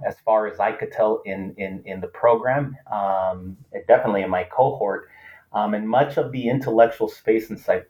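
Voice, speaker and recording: A man's voice: fairly low pitch, dull audio. A middle-aged man from Los Angeles, a professor whose first language is Spanish, speaking as a guest in a casual interview, hesitant with many fillers and restarts.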